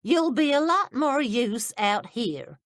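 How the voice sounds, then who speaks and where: A woman voicing a bold, forthright lady who speaks confidently and gently. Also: on the high side, big pitch swings, no echo, clean studio sound, voice pushed up. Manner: brisk, confident, gentle with the old.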